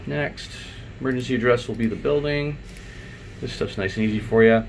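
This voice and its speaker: Male tech tutorial presenter, mid-pitched, informal and unscripted, thinking aloud over a faint room hum. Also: moderate pace. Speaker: IT installer giving a software tutorial. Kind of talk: unscripted step-by-step screen walkthrough.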